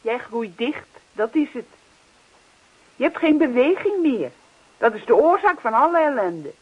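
High-voiced, husky wife. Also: female voice, big pitch swings, measured pace, heavily acted. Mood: chiding. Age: middle-aged. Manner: chiding, teasing, coaxing. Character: middle-aged wife, teasing, reproachful yet affectionate. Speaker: actor. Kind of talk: naturalistic radio play acting. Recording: dull, muffled radio play recording.

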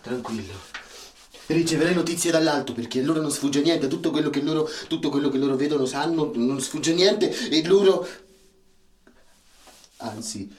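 A man playing a childlike, simple-minded friend who speaks solemnly and haltingly in a radio drama, repeating grand, ominous promises. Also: on the high side, darkish tone, slight background noise, lightly acted. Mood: solemn, reassuring.

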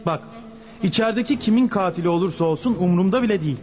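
An assertive, higher-voiced lawyer. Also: male voice, lively intonation, rapid-fire, darkish tone. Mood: insistent, impatient. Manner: insistent, firm, articulate. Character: assertive male lawyer working for a gang boss. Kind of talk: radio drama acting. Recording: dull lo-fi radio recording.

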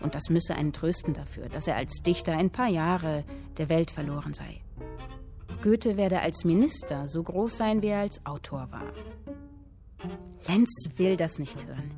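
An earnest captive woman reading aloud, her voice fairly low. The delivery is deliberate and expressive, in radio-drama style with music behind it. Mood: earnest narration.

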